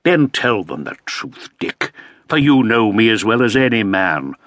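A man's voice: lowish voice, very animated, unhurried, fairly bright tone, dry recording, voice pushed up. A man voicing a put-upon, aging Elizabethan earl who speaks in a plaintive, complaining way. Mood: aggrieved.

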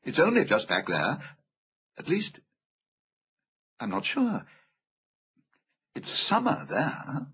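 A man's voice: fairly high pitch, wildly swooping pitch, takes it slowly, creaky, long pauses, breathy edge, highs cut off, voice pushed up, heavily acted. A man voicing an earnest, polite, kind-hearted little English girl in a storybook, plain-spoken and politely insistent. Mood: puzzled, polite.

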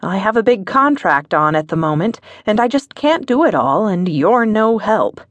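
A woman's voice: mid-range voice, big pitch swings, normal speed, no echo, voice pushed up, close to an everyday voice. A woman voicing a playful young wife who scolds in a mock-firm, affectionate way. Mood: teasing.

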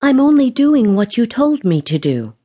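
A woman's voice: fairly high pitch, sing-song, normal speed, warm tone, muffled recording, pitched above the performer's own voice. A woman reading the lines of a frustrated, defiant young woman in an audiobook romance. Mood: defensive. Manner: heated, defensive.

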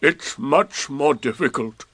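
A man voicing a gloomy, sarcastic old donkey in a children's story, with slow, deadpan, dignified drawling. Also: medium pitch, breathy edge, no room sound. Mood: aloof, dignified. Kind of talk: children's storybook character reading.

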